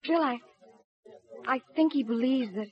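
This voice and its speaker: A woman's voice: high voice, measured pace. An actress playing a worried, despairing young woman in a 1950s radio drama. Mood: anxious, resigned. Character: anxious, despairing young woman fearing for her friend. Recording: muffled 1950s radio recording.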